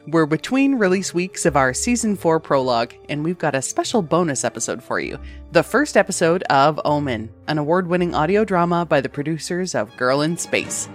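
Low-pitched woman, podcast intro. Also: animated, normal speed. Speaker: podcast producer introducing an episode. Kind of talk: scripted promotional narration. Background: background music.

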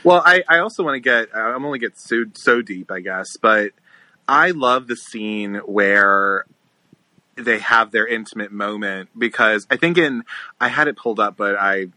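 A man talking about a film in a casual, animated way with casual swearing. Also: on the low side, normal speed, no room sound, rough recording.

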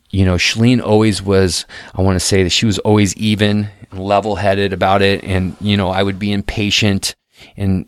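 A man's voice: deep voice, clear, resonant tone, no room sound. A middle-aged man talking casually and earnestly into a close mic, often restarting and repeating words as he shares advice. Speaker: podcast guest host.

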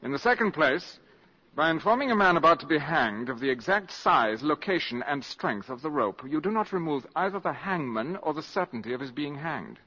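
A suave, cynical spy, animated delivery. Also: male voice, a little high, fairly quick, slightly rough, exaggerated voice. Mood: sardonic. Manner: urbane, precise, sardonic, formal diction. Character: suave, cynical, mercenary valet turned wartime spy. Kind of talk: 1950s radio drama acting. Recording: dull 1950s radio broadcast recording.

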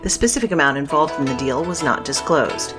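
A woman reads the news from a script in a low register. She is formal and precise in her phrasing. Faint music plays underneath. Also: expressive, brisk. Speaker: news reader.

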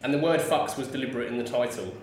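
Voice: mid-range voice, rapid-fire, a little mellow. Distant, echoey interviewer. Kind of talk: conversational interviewing. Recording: distant mic, very echoey, faint noise.

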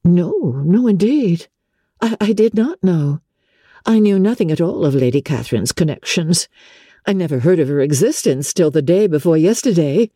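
A woman voicing a candid, spirited young gentlewoman in a classic novel reading. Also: lowish voice, lively intonation, moderate pace, husky, no room sound, studio quality, voice pushed up. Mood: surprised. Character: bright, candid young gentlewoman with quick opinions.

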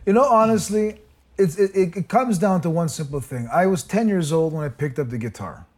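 A man's voice: fairly high pitch, expressive, a little fast. A man from Canada, a musician guest, talking earnestly in a casual interview, rambling with many restarts. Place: remote, calling in from abroad.

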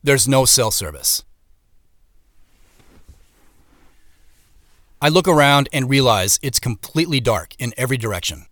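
An actor playing the uneasy first-person narrator of a mystery audio drama, telling it in measured, suspenseful phrases. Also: male voice, medium pitch, a bit bright, dry recording, clean studio sound, lightly acted.

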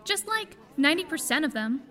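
A woman's voice: high voice, very animated, a little fast, bright, forceful tone, heavily acted. A woman playing a pragmatic, wry survivor in an audio drama.

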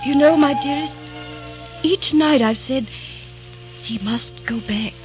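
A woman's voice: sits high, slow pace. A devoted 18th-century lady. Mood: tender. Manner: earnest and pleading. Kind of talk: 1940s radio acting. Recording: dull old radio broadcast with music.

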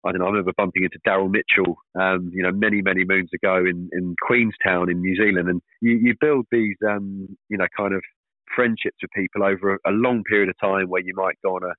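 A man in his thirties, a professional cricketer, talking casually in an interview, fairly monotone and hesitant with many fillers and restarts. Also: low voice, fast talker, no room sound. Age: 30s. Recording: tinny dry phone line.

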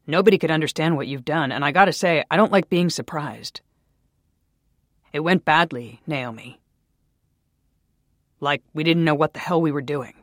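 A man's voice: medium pitch, fairly quick, dry recording, studio quality, natural voice. A reader voicing a frustrated male coworker who scolds and swears, his voice rising as he pushes harder. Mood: disappointed. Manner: scolding, swearing, growing louder.

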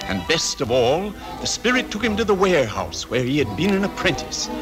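A man's voice: on the high side, very animated, fairly quick, rough recording. A man playing the storybook narrator of a Christmas tale in old-time radio style. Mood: storytelling. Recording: old radio broadcast with music behind.

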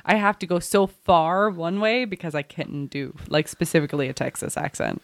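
A woman co-hosting a podcast in casual chat, animated and quick to exclaim. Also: lowish voice, gravelly, dry recording. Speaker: podcast co-host.

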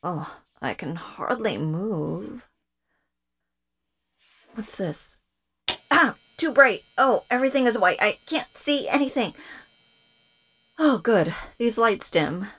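A woman's voice: on the high side, expressive, slow pace, long pauses, a bit bright, breathy edge, heavily acted. A woman acting a drowsy, sensible, uneasy character trapped in a capsule in an audio drama, hesitant and full of exclamations. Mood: drowsy, disoriented.

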